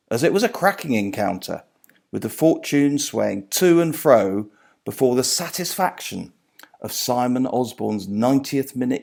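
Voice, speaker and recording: A middle-aged or older man reading aloud from a book as its author, measured and phrase by phrase. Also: fairly low pitch, vocal fry, a little mellow. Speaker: author and sports journalist reading own book.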